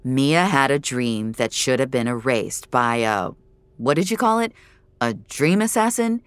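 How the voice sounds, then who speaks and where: A woman's voice: rumbling low, lively intonation, a little slow, clear, resonant tone, no echo. A woman voicing an exasperated, incredulous father in an audio drama, agitated and grumbling. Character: frantic, exasperated father, male film actor. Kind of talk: dramatic audio fiction dialogue.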